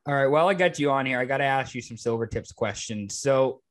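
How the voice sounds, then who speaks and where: A man's voice: mid-range voice, fast talker. An American man in his twenties hosting a casual interview, informal and peppering his speech with "like". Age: 20s. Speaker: podcast host.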